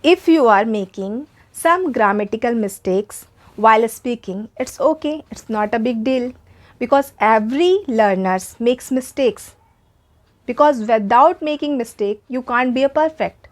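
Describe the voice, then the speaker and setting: Woman, Indian English accent, native Hindi speaker, young adult, earnest encouraging teacher, slightly high voice. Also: expressive, a little slow. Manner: earnest, encouraging, repeats points for emphasis. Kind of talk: spoken English lesson to camera.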